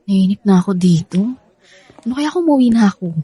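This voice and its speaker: A woman's voice: mid-range voice, warm tone, husky. An actress with a Filipino accent playing an irritable, guarded young woman in a radio drama, sarcastic and combative. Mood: bored, curt.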